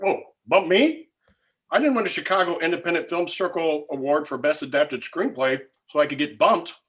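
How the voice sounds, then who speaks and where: A man's voice: fairly high pitch, moderate pace, fairly bright tone, close to an everyday voice. A man playing an uneasy, easily offended screenwriter of about forty, stammering awkwardly in a comedy table read.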